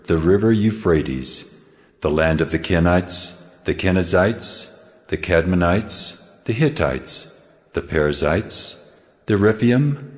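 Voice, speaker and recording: An actor playing God in a dramatized Bible reading, a solemn and commanding male divine voice making declarations. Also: low voice. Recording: long cavernous reverb.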